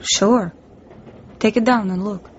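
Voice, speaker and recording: A woman's voice: medium pitch, slow, lightly acted. An actress playing a young Russian woman spy in a radio drama, tender but defiant and secretive, with a Russian accent. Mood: defensive. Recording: dull lo-fi recording, background noise.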